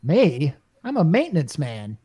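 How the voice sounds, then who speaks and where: A man's voice: a little high, wildly swooping pitch, unhurried, dark tone, breathy edge, full character voice. A man playing a wry, cocky, down-to-earth repairman hero in a retro radio comedy. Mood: wisecracking. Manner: wisecracking, casual.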